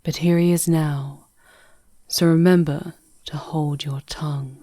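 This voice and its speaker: A woman playing a composed, regal and protective demoness guide in an ASMR fantasy roleplay, speaking in a measured, hushed, poised way. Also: low voice, fairly even intonation, dry recording. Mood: hushed, wry.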